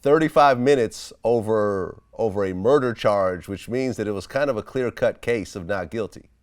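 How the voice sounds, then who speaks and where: A man working as an interviewer, asking questions casually and piecing them together in a halting way. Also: lowish voice.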